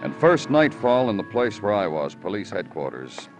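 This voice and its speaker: An actor playing a world-weary police detective in a vintage radio crime drama, speaking in a deliberate, brooding way. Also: male voice, fairly low pitch, very animated, over loud music. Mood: brooding, wistful. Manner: poetic, deliberate, terse in dialogue. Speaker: lead actor and narrator. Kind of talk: 1940s-50s radio crime drama narration and acting.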